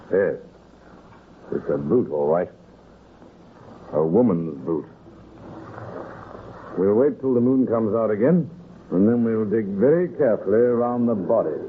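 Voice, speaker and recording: A brisk, practical detective in an old radio crime drama. His voice sits fairly low and moves freely up and down as he gives orders. The sound is thin and narrow, like an old broadcast. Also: lively intonation, slight background noise. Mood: grave, commanding. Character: brisk, practical male detective leading a search. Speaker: radio actor.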